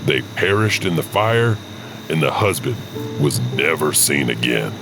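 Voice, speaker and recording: Very deep, animated male horror narrator. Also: a little slow, vocal fry, noisy background. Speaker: host and narrator. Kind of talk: scripted spooky storytelling narration.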